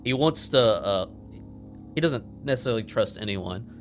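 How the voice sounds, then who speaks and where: A man's voice: fairly low pitch, lively intonation, normal speed, soft background music. A man playing a tabletop roleplaying game, casually speaking for his character and describing him.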